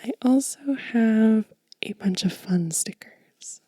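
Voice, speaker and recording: A woman giving a gentle, informal ASMR show and tell in a breathy, soft whisper, unhurried and close to the microphone. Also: fairly high pitch, no room sound. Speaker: ASMR channel host.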